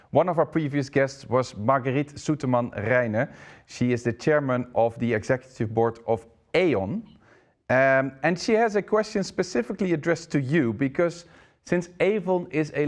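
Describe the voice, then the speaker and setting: A man hosting an online interview, hesitant, often repeating words as he asks questions. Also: on the high side. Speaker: interview host.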